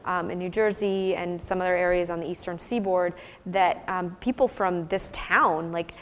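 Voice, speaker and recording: American woman, lowish voice, hall reverb, academic question and answer, thoughtful explaining researcher. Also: steady tone, normal speed, a bit bright, slight background noise. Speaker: researcher presenting fieldwork and answering audience questions.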